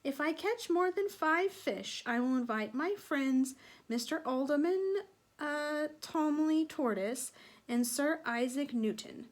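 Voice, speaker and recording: A woman reading the part of a mild-mannered gentleman frog in a storybook, musing deliberately to himself. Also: sits high, lively intonation, voice pushed up. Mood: pleased, planning.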